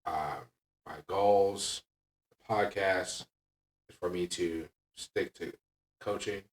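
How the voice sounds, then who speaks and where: A man's voice: deep voice, steady tone, slow. A man talking candidly and casually as a podcast host and coach, emphatic and blunt, often checking in with "right?". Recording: echoey room, distant from mic.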